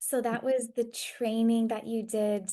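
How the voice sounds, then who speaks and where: A woman's voice: on the high side, flat delivery, measured pace, warm tone. A woman from Hawaii hosting a podcast, asking her guest questions over a video call in an enthusiastic, affirming way. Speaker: podcast host.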